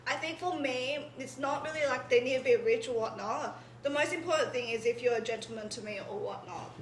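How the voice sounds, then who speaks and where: Young woman guest, 20, high bright voice, meandering filler-laden answers, roomy echo. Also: fairly quick, a little hiss or hum. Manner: rambling, filler-heavy, says "in a sense" a lot. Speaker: podcast guest. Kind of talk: casual podcast chat. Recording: echoey room.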